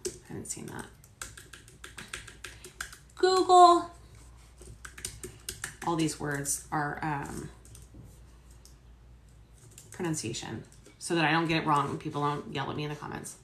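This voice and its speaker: Animated sardonic woman in echoey room. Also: low voice, slow, long pauses, a little hiss or hum. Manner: animated, sardonic, swearing asides. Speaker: host reading a court transcript with commentary. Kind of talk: dramatic reading with casual asides. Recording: roomy echo.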